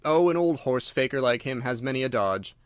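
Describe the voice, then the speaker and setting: A man voicing a cool, self-assured detective with measured, smooth authority in a storybook reading. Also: medium pitch, expressive, no echo, studio quality, close to an everyday voice. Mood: amused, confident.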